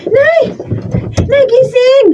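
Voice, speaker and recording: A woman's voice: extremely slow, mellow, full character voice. A Filipino woman playing a bitter young daughter who wails reproaches at her mother in a radio drama. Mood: frantic, reproachful. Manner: shrill, wailing, reproachful. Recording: muffled, distant mic, background noise.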